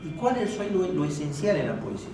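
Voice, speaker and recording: Echoey, higher-voiced Peruvian man giving a speech. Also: sing-song, fast, warm tone. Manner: didactic, rhetorical questions and tag questions; ornate, erudite vocabulary. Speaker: speaker at a book presentation. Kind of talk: extemporaneous literary speech to an audience. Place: echoey indoor room at a live event. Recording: very echoey room, distant mic, faint noise.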